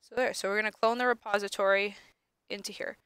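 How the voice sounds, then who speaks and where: A young woman lab instructor, sniffly from allergies, talking casually through a screen-recorded software tutorial with many ums. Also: medium pitch, steady tone, fast talker, clear, resonant tone, dry recording.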